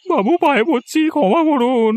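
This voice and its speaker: A man's voice: extremely high pitch, animated, normal speed, no room sound. A man playing a meek, worried poor villager in debt in a cartoon, pleading and anxious. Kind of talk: Bengali cartoon voice acting. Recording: music behind the voice.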